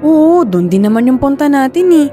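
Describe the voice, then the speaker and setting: Concerned young daughter for radio drama: high-pitched, soft-toned, earnest, principled. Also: female voice, big pitch swings, normal speed, soft background music. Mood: frustrated, earnest. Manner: earnest, questioning.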